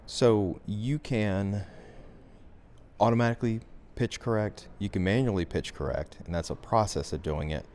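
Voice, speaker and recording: A man giving a software tutorial as instructor, talking through the steps in an informal, conversational way. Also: fairly low pitch, moderate pace.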